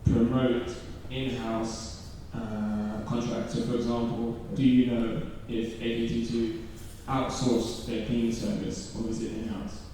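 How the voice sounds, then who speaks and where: A man's voice: mid-range voice, flat delivery, unhurried, few pauses, warm tone, recorded from a distance. A man moderating a panel, asking probing follow-up questions and often repeating words. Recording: echoey hall, voice from afar, faint hiss.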